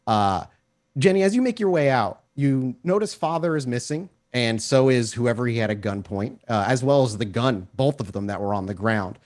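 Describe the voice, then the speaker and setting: A man's voice of middling pitch leads a tabletop role-playing session. He narrates as game master, describing the scene in cinematic detail. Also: expressive, normal speed, a little mellow.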